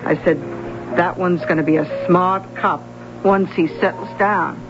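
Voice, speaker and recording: A woman's voice: fairly low pitch, fairly even intonation, unhurried, background noise. A woman in a radio drama playing a wisecracking motherly older bar owner, blunt and slangy, on an old broadcast recording. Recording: muffled old radio broadcast, music behind.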